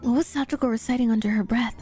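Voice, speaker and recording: Woman VTuber, slightly high voice, first-person storytelling, dramatic read-aloud, soft music behind. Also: steady tone, quick pace.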